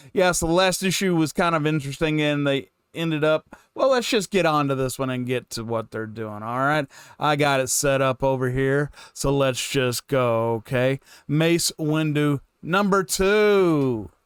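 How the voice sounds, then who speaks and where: A man's voice: a little high, clean tone, no echo, clean studio sound. A man hosting a fan reaction show, reading out notes and commenting casually.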